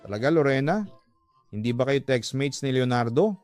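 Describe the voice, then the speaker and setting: A man's voice: medium pitch, expressive, moderate pace, darkish tone, faint music behind, lightly acted. A man voicing a jealous, hurt young lover who questions his girlfriend reproachfully in a radio drama. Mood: hurt, suspicious.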